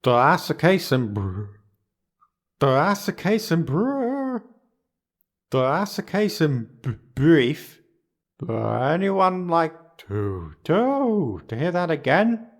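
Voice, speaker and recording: A man voicing a bumbling, nervous police detective who stutters haltingly, in a video game fan dub. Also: a little high, big pitch swings, exaggerated voice. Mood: nervous, flustered.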